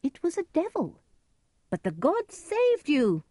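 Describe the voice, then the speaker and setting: A woman's voice: high-pitched, huge pitch swings, measured pace, mellow, dry recording, studio quality, pitched above the performer's own voice, exaggerated voice. A woman voicing a nobleman's son disguised as a strange helper, exclaiming and coaxing in a storybook tale. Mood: astonished, coaxing.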